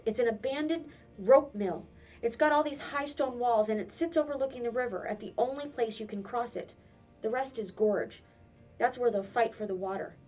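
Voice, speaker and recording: A woman's voice: on the high side, darkish tone, far from the mic, pitched above the performer's own voice, close to an everyday voice. A woman reading a storybook and voicing an earnest, stubborn boy, speaking with insistent emphasis.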